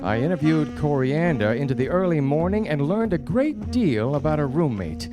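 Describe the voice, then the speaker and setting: An actor playing a self-important 1940s detective, narrating in deadpan, theatrical radio noir style. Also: male voice, a little high, moderate pace. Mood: wry narration. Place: theatre stage before studio audience. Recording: live radio play, music behind.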